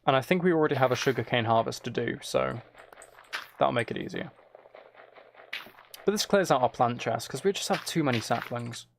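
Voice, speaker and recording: A man with a creaky, gravelly voice at a middling pitch talks casually through a game on a live stream. He thinks aloud and drops in asides to viewers, with faint noise and clicking in the recording. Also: a little fast, long pauses. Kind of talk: casual gameplay commentary and chat.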